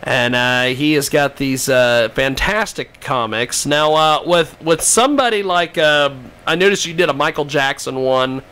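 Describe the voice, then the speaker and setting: A man's voice: a little high, unhurried, bright, forceful tone, clean tone. A man hosting a live radio interview. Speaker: radio show host.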